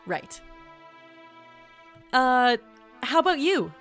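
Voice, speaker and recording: An actress playing an awkward, wry visitor from another world in a fantasy audio drama, casual and hesitant. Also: female voice, high voice, sing-song, very slow, fairly bright tone, faint music behind, exaggerated voice. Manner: casual, hesitant, dryly sarcastic. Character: awkward, wry, easily amazed otherworldly traveler. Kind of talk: fantasy audio drama acting with mission-log asides.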